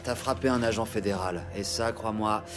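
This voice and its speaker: A man's voice: lowish voice, moderate pace, over music, close to an everyday voice. An actor playing a cocky, streetwise male cop in an audio drama, talking informally and tauntingly. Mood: needling. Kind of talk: dramatic audio series acting.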